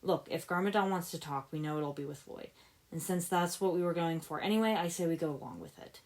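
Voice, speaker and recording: A steady young team leader, played by a woman reader speaks in a low voice. He is practical and dry, giving ninja orders with authority in an adventure story. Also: fairly quick, close to an everyday voice. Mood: serious, commanding.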